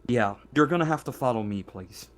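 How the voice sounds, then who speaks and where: A man voicing a brisk female colony official in a tabletop roleplaying game, hesitant and polite but insistent. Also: mid-range voice, animated, close to an everyday voice. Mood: polite, businesslike.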